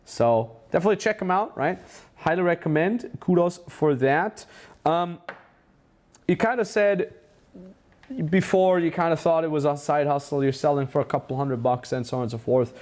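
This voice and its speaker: A man's voice: on the high side, moderate pace, a little mellow. A man with a German accent hosting a casual interview as a business mentor, enthusiastic and full of praise. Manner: enthusiastic, praising, many restarts.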